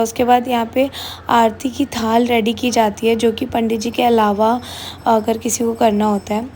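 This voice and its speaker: A young woman, slightly hoarse, casually narrating the voice-over of her vlog, mixing Hindi and English. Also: a little high, dark tone, slight background noise. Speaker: vlogger. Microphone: voice-over recorded separately.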